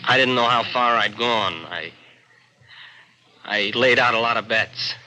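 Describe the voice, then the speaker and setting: An actor playing a desperate small-time gambler in 1940s radio drama style, pleading in a nervous, halting way. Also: male voice, medium pitch, fairly even intonation, pushed and bright. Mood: nervous excuses. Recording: noisy old-time radio recording.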